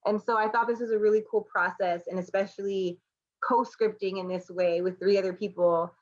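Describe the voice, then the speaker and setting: A slightly muffled, lo-fi recording of a woman with a mid-pitched voice. She talks casually and enthusiastically as a panelist, reflecting off the cuff in informal language. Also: fairly even intonation, brisk. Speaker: artist and educator, panelist.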